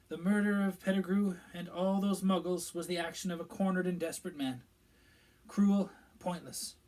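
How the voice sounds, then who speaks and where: A man's voice: high voice, darkish tone, voice pushed up, close to an everyday voice, low-quality recording. A man voicing a self-important middle-aged male government minister who talks in a pompous, evasive way.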